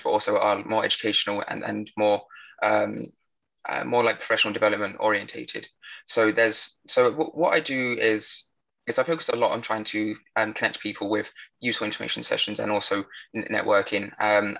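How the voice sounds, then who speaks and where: A man's voice: fairly low pitch, gravelly, bright, forceful tone, poor audio. A young man with a British accent, answering as a panelist in a conversational way. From United Kingdom. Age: young adult. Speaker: panelist, threat intelligence professional.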